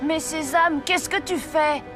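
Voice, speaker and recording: A young boy: high, lively, a bit bossy, with music behind. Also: measured pace. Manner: lively, scolding at times. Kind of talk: French cartoon dub.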